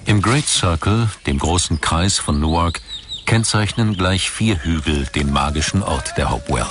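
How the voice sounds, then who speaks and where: A man's voice: sits low, animated, unhurried, background noise. A man reading scripted documentary narration as the narrator. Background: music behind the voice.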